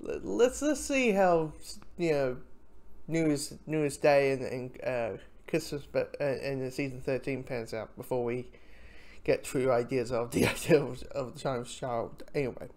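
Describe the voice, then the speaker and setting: A reviewer talking casually and off the cuff, in a hesitant, halting way with frequent false starts. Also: medium pitch, a little mellow.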